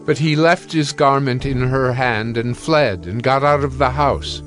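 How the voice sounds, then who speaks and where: A man narrating scripture from a script in a solemn, measured manner. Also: medium pitch, fairly bright tone, soft background music.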